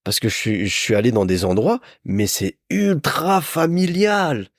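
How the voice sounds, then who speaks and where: A man's voice: mid-range voice, measured pace, no echo, studio quality. A young man from France hosting a solo podcast, telling stories in a chatty, informal way as if to a friend. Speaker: podcast host. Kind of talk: casual solo podcast monologue, storytelling.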